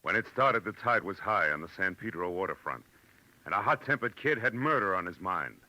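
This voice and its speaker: An actor playing a tough, world-weary private detective, narrating in a terse, hard-bitten 1940s radio noir style. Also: male voice, low voice, lively intonation, a little fast, sharp tone, no room sound, heavily acted, rough recording. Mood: menacing, gritty. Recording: vintage radio drama recording.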